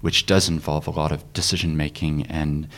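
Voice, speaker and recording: Deep-voiced male interviewer. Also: little pitch movement, normal speed, a bit bright. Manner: encouraging, probing questions with short backchannels. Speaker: interviewer host. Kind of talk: conversational interview.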